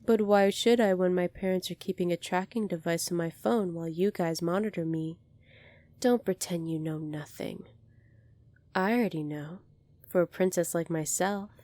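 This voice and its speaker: A woman playing a poised, kindly young alien princess in an ASMR roleplay, polite, formal and measured, soft-spoken with breathy moments. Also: fairly low pitch, no echo, close to an everyday voice. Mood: firm, composed.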